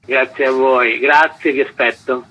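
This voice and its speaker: Bright-voiced man, wordy and intellectual. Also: mid-range voice, fairly even intonation, measured pace, low-quality recording. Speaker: artistic director being interviewed. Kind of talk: formal interview explanation.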